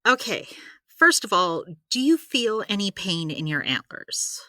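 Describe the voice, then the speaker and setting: Wry female healer, audio drama, slow-paced delivery, bright tone, close dry sound, chatty explainer. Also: medium pitch, very animated, no echo. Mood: matter-of-fact. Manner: wry, explanatory, exasperated asides. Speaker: healer giving therapy advice. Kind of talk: audio drama dialogue, conversational.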